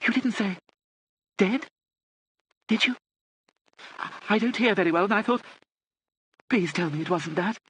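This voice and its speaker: A man's voice: sits high, a little fast, fairly bright tone, husky, pitched above the performer's own voice. A man voicing a frail, anxious, hard-of-hearing elderly aristocratic lady in a genteel, hesitant, fluttery manner for an audiobook. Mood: shocked, distressed.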